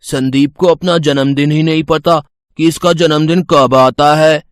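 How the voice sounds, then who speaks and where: A man's voice: fairly high pitch, steady tone, measured pace, no echo, studio quality, natural voice. A man playing a teasing, mocking schoolboy in a dramatised children's moral story.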